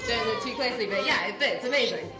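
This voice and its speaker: A woman's voice: on the high side, fast, a crowd in the background, lightly acted. A young woman playing a frank, sensible pantomime heroine, on a video call from home. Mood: awkward. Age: young adult. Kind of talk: comic pantomime acting. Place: room at home. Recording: roomy video-call sound.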